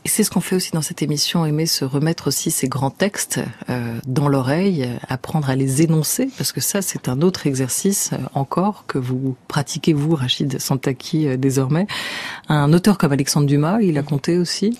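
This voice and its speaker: A man's voice: fairly high pitch, moderate pace, darkish tone, breathy edge. A man hosting a radio interview, asking long, inquisitive questions on a studio mic. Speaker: radio host.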